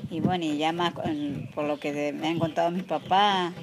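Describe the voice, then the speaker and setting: A woman's voice: deep voice, fairly even intonation, moderate pace. A woman in her forties with a rural Mendoza Argentine accent, answering informal interview questions in a plain, somewhat hesitant way. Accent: rural Argentine Spanish from Mendoza. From rural Mendoza province, Argentina. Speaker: interviewee, artisan weaver. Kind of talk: informal oral history interview. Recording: clearly noisy background with clicks.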